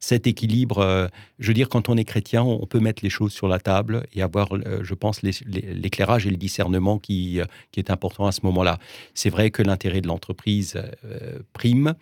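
Interviewee: Alsace-born man, Alsatian first language, low gravelly voice, dry close sound, measured and hesitant. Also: steady tone, a little mellow, no room sound. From Alsace, France. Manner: measured, hesitant, many restarts. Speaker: interviewee, business executive. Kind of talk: interview conversation.

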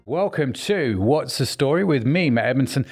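Gravelly-voiced man hosting a chatty podcast. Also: medium pitch, expressive, faint music behind. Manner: chatty and teasing, with quick restarts. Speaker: podcast host. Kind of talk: casual interview chat.

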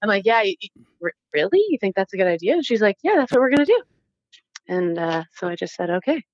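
A Canadian-accented woman telling stories casually as an interview guest, animated and informal, acting out bits of dialogue as she goes. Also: medium pitch, fast, highs cut off. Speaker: interview guest, former Olympic athlete. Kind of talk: casual conversational storytelling.

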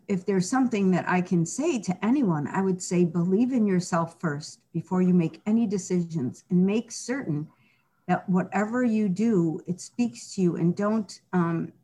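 A woman's voice: lowish voice, warm tone. A middle-aged woman talking earnestly and reflectively as an interview guest, often restarting her sentences. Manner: earnest, reflective, with frequent restarts and "you know". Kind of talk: candid personal interview.

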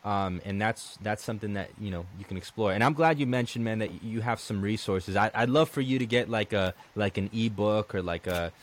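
Low-pitched guy from colombia hosting a podcast, loose chatty talk, lots of "man". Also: brisk, hardly any pauses. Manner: conversational, many restarts and "man". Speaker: podcast host. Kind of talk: casual interview chat.

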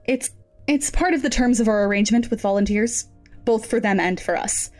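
An actress playing a cool, businesslike lab supervisor in an audio drama, composed and formal. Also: female voice, fairly high pitch, brisk, a little mellow, faint music behind, close to an everyday voice. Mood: clinical.

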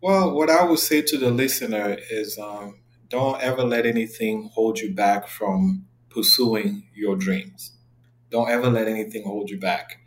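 A man's voice: fairly low pitch, measured pace. A man in his thirties from Cameroon, a podcast guest talking earnestly and reflectively in a casual interview. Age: 30s.

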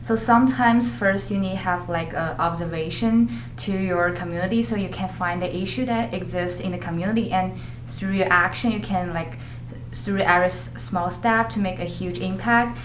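Teen girl, measured english, echoey room. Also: on the low side. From China. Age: teens. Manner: measured, slightly non-native phrasing. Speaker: student guest. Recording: echoey room, faint noise.

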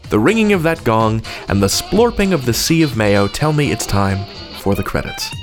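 A man's voice: mid-range voice, very animated, moderate pace, over music. A man hosting a podcast, reading semi-scripted announcements in a playful, wry way. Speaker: podcast host.

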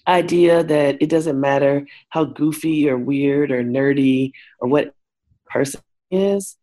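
Woman w a deep voice, 40s, talks frank and expressive, guest on an interview. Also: slow. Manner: frank and expressive, switches into lively quoted voices. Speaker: guest, works with couples. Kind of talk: candid conversational interview.

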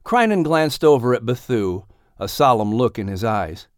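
A man narrates a storybook in a scripted audiobook style. His pitch moves widely and expressively from phrase to phrase. The recording is very dry, with no room sound. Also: very animated, moderate pace, dry recording.

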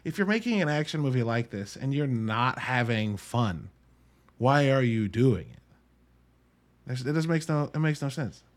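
A man's voice: mid-range voice, big pitch swings, moderate pace. A man hosting a casual solo movie review podcast, talking in an informal, slangy, emphatic way with some swearing. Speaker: podcast host.

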